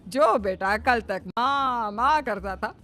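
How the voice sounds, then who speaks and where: A woman's voice: on the high side, takes it slowly, pushed and bright. Woman acting indignant, possessive older mother. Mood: complaining. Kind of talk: cartoon moral-story dialogue.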